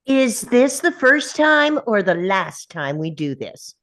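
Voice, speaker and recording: Woman acting a stern pushy mom, slow, swooping delivery. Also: on the high side, very animated, fairly bright tone, full character voice. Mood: stern, nagging. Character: stern, pushy mother of a teenage boy. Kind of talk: table read of a film script.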